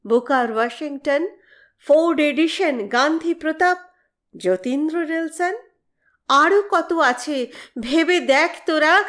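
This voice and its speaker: A woman reciting poetry in a dramatic, exhorting style with measured phrasing. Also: high voice.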